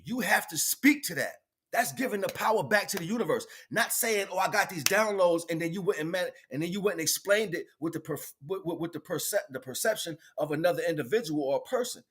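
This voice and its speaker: A man's voice: a little high, a bit bright, slightly rough. A man giving an improvised spiritual, motivational livestream talk in an emphatic, preachy way, repeating phrases for effect.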